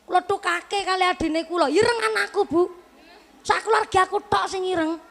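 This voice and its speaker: A woman's voice: very high-pitched, normal speed, vocal fry, fairly bright tone. A woman in her late twenties with a Javanese accent, a preacher giving a humorous religious talk to a live audience, chatty and joking as she turns to the listeners again and again. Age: late 20s. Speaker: Islamic preacher.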